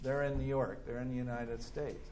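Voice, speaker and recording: A man's voice: medium pitch, steady tone, moderate pace, darkish tone, low-quality recording. A man leads a church class, reading from a biography and stopping to comment. His mid-range voice jumps up when he acts out quoted lines, and he talks with plenty of restarts and fillers. A faint hiss sits behind the recording. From California. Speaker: Bible teacher.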